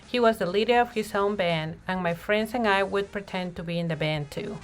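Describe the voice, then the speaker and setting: A woman narrating a children's picture book in an expressive read-aloud style, with deliberate phrasing and emphasized words. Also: mid-range voice. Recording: music playing behind the voice. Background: music.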